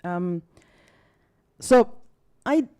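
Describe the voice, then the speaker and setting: A young woman from Mexico talks to a live audience in accented English, Spanish being her first tongue. Her voice is mellow and warm, and she sounds tired. She rambles, apologizes often and fills gaps with ums. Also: on the low side, expressive, drawn-out words, smooth. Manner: chatty and apologetic, with many fillers and restarts. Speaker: guest speaker at a science talk night. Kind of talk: casual, self-deprecating live talk. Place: bar or event room with a live audience.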